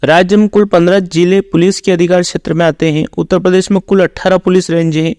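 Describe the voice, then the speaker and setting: A man's voice: on the high side, a little mellow, no echo, clean studio sound. A man working as an exam-prep teacher, reading out quiz questions and explaining the answers in an instructional, routine way.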